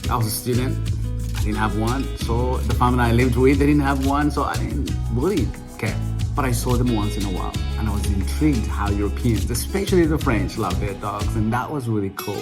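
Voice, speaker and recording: Man telling a calm story. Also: mid-range voice, brisk, music in the background. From Uganda. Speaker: vlog host. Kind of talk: casual storytelling to camera.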